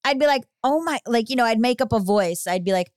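Woman cohost rambling thru a story, smooth clear voice, dry close mic. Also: fairly high pitch, lively intonation, fast talker, dry recording. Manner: rambling, filler-heavy storytelling. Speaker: podcast host. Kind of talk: casual podcast chat.

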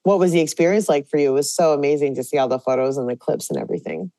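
A woman hosting a podcast, her voice a little raspy after a late night, chatting in an upbeat way with many fillers. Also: low-pitched, racing, warm tone, no echo, rough recording. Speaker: podcast host. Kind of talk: casual podcast chat.

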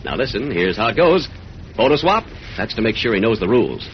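A man with an American accent delivering a scripted 1940s radio advertising pitch as announcer. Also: big pitch swings, brisk. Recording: muffled, noisy old radio recording.

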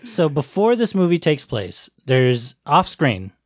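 Male podcast co-host, slightly high voice, close dry sound, puzzled and exasperated, swears casually. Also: sing-song, unhurried, dry recording. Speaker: podcast co-host discussing a movie. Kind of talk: casual, profane banter.

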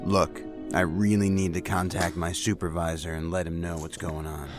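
Low, disoriented male agent played by an actor. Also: flat delivery, moderate pace, over music, natural voice. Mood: frustrated, confused. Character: disoriented, frustrated male agent with memory loss. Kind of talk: audio drama acting.

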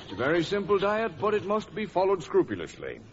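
A pompous, very animated doctor. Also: male voice, high voice. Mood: brisk, authoritative. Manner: pompous, measured, formal. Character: self-important, shrewd male horse doctor, professionally assured. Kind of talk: 1940s radio comedy acting. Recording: muffled, faintly noisy old radio recording.

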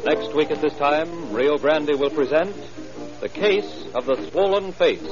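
A man's voice: a little high, a little slow. Formal radio announcer man, muffled recording. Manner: formal, polished sales delivery. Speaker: announcer and narrator. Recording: muffled 1930s radio broadcast with music.

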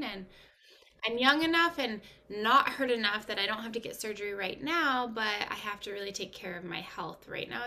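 A woman's voice: mid-range voice, pushed and bright, a little hiss or hum. A woman in her thirties, a coach as guest, speaking earnestly and openly. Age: 30s. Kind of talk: casual interview talk.

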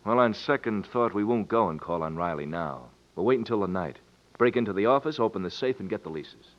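An actor playing a bossy, scheming swindler in an old radio western, with a superior, measured, commanding manner. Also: male voice, fairly low pitch, lively intonation, slight background noise, lightly acted. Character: smooth, scheming, bossy swindler gang leader. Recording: dull vintage radio recording.

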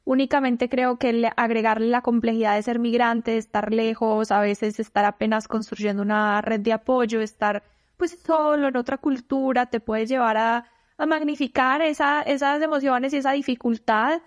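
Woman podcast host from medellin, voice a bit on the high side, calm thoughtful questions. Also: normal speed. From Medellín, Colombia. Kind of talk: interview questions and reflections.

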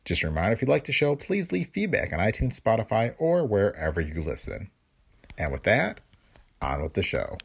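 A gravelly man hosts a podcast with a scripted introduction. His lowish, husky voice moves in a lively up-and-down pattern. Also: fairly quick. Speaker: podcast host.

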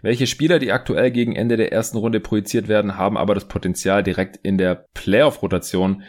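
A man's voice: fairly low pitch, steady tone, a little fast, darkish tone. A man hosting a casual sports podcast, chatting and reading out listener questions. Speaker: podcast host.

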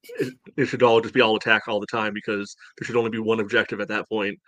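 A man's voice: on the low side, flat delivery, fast talker, a bit bright, no room sound. A man co-hosting a casual sports discussion, often padding his points with "you know". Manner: peppers speech with "you know". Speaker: podcast co-host.

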